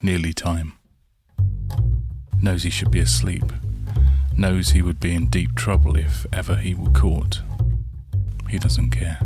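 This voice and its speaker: A man with a low voice narrates a story at a very slow pace. He speaks in short, measured phrases and leaves dramatic pauses. Prominent plucked guitar music plays behind him. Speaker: storyteller, author reading own story. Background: soft plucked guitar soundtrack.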